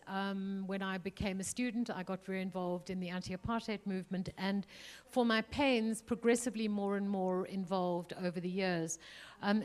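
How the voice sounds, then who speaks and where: A middle-aged woman from South Africa with a South African accent, speaking earnestly at a panel, with frequent ums and restarts. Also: mid-range voice. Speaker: museum CEO, panelist. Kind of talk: panel remarks. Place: event hall.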